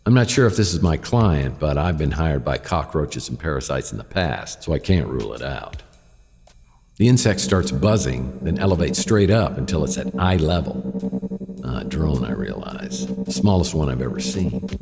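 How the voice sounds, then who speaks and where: With music underneath and a long reverb, a hardboiled male private eye with a very deep voice. He narrates his case in a deadpan, sardonic way, worn down but wry, in classic radio noir style. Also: moderate pace, creaky, exaggerated voice. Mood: wry, puzzled.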